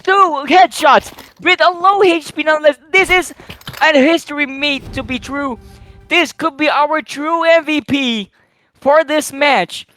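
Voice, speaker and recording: High-voiced man, excitable game caster. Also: unhurried. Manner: excitable, rambling, filler-heavy play-by-play. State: slightly croaky voice. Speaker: esports caster. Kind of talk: live play-by-play game commentary.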